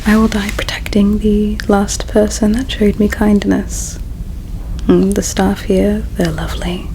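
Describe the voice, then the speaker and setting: A gentle, self-sacrificing alien royal played by a woman speaks in a hushed, breathy whisper for an ASMR roleplay. The delivery is very slow and tender, with halting phrases and a creaky edge. There is faint noise behind the voice. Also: medium pitch, little pitch movement, lightly acted. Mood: solemn, resigned.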